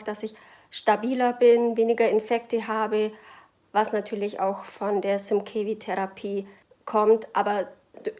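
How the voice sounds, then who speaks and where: A woman's voice: mid-range voice, darkish tone. A woman giving a casual personal testimonial. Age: 20s. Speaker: patient sharing personal experience.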